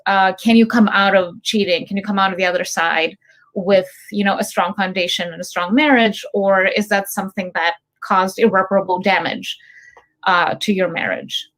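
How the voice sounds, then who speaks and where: A woman's voice: mid-range voice, fairly even intonation, moderate pace, fairly bright tone. A woman from Greece, a filmmaker guest, answering interview questions thoughtfully with many fillers.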